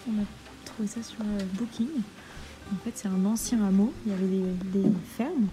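A woman of about thirty talks in an informal travel vlog style. Her voice sits at an average pitch and her delivery is full of enthusiasm. Music plays clearly behind her. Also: fairly even intonation, normal speed, mellow, poor audio. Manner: enthusiastic, effusive. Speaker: travel vlogger and dog trainer. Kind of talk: casual travel vlog chat.

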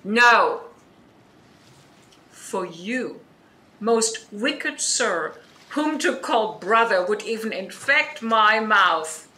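Slow, bright-voiced exiled duchess, acted by a woman. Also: mid-range voice, animated, slight background noise, full character voice. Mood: stern. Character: dignified exiled duchess, stern yet forgiving mother. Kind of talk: Shakespearean audio drama acting.

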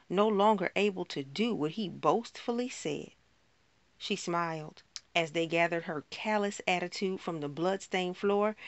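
A woman performing a spoken word poem in a rhythmic, rhyming delivery with dramatic pauses. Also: medium pitch, no room sound, lo-fi sound.

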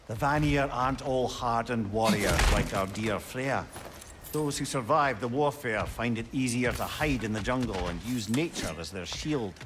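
A man's voice: medium pitch, normal speed, noisy background, lightly acted. A man playing a chatty, worldly old companion in a video game, with a droll, witty way of talking. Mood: explanatory. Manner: droll, talkative, wryly witty. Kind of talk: video game dialogue acting.

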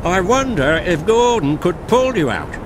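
A man voicing a stern, authoritative middle-aged railway controller, deliberate and scolding, in a storybook reading. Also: sits high, sing-song, background noise, voice pushed up. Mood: doubtful. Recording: loud music bed behind.

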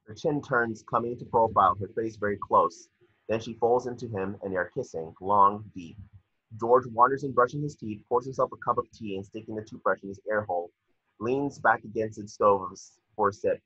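A man with a husky voice reading screen directions as narrator at a table read over a video call. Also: lowish voice, brisk, pushed and bright, dry recording. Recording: muffled lo-fi video call audio.

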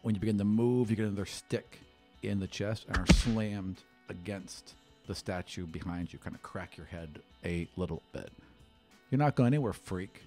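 A man's voice: sits low, fairly even intonation, moderate pace, over music. A man in his thirties narrating as game master in an easygoing way, on a studio mic. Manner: easygoing, lots of fillers. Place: studio set with a desk. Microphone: studio mic on a stand.

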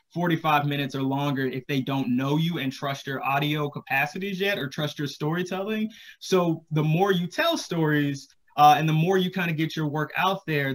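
A man in his thirties giving casual advice as a podcast creator on a panel, over a video call from a room at home. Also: a little high. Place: small home room, indoors.

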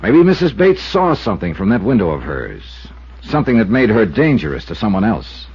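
An American actor playing a cool, probing insurance investigator and wry narrator in a measured 1950s radio drama style. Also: male voice, lowish voice, huge pitch swings, husky, no room sound, exaggerated voice. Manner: measured, questioning, dryly reflective. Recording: noisy, muffled 1950s radio recording.

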